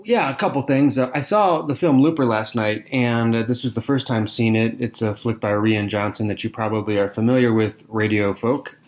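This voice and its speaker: A man's voice: mid-range voice, normal speed, hardly any pauses. Conversational male podcast co-host. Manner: conversational, thoughtful, with fillers. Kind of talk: casual chat.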